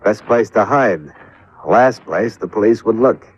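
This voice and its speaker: Low-voiced hard-boiled American investigator, old radio. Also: male voice, a little slow, darkish tone, slightly rough. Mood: matter-of-fact. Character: hard-boiled male insurance investigator, wry and stubborn. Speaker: lead actor and narrator. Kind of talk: 1950s American radio detective acting. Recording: muffled, noisy old radio recording.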